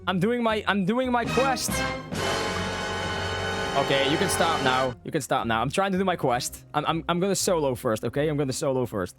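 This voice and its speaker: A man's voice: fairly high pitch, animated, moderate pace. A man giving casual, playful live gameplay commentary as a streamer, often repeating his phrases. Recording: game music behind the voice. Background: video game music.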